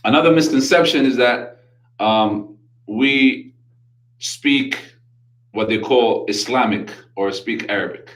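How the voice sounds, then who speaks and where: A man's voice: mid-range voice, takes it slowly, a bit bright, roomy sound, low-quality recording. A man with a New York accent, raised in Brooklyn, hosting a casual religious talk show and explaining his faith earnestly with many Arabic religious phrases. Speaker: radio show host.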